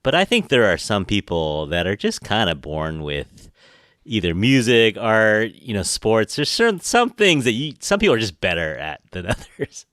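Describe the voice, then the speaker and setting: A man's voice: fairly low pitch, sing-song, moderate pace, a bit bright, no echo, clean studio sound. A man from the United States hosting a podcast, chatting casually and laughing often. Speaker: podcast host. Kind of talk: casual interview chat.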